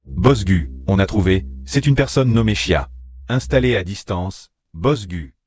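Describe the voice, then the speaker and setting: Loyal assistant, low-ish, brisk reports. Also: male voice, animated, darkish tone. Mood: reporting. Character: loyal young male assistant, matter-of-fact. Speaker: assistant to the boss. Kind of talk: dubbed drama acting.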